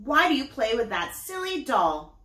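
A woman's voice: high voice, very animated, moderate pace, fairly bright tone, reverberant. A woman voicing Pearl, a bossy, impatient young girl pig, in a whiny, exasperated voice for a children's storybook.

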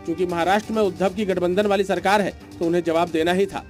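A man narrates a scripted news report in a high-pitched voice. His delivery is dramatic and punchy, with faint music underneath. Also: steady tone, fast talker, a little mellow. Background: light news music.

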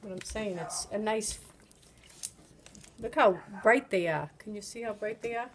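A woman's voice: mid-range voice, a little slow. A middle-aged woman with a New England accent, chatting through a hands-on bread-making demonstration in her home kitchen, talking while she kneads. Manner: chatty, coaxing, talks while kneading dough by hand. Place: home kitchen with children around. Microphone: phone camera held by another person. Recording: noisy home video.

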